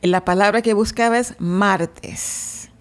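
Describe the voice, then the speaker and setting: A woman's voice: mid-range voice, takes it slowly. A woman from Brazil, a native Portuguese speaker, talking as an interview guest in Spanish, friendly and sometimes searching for words.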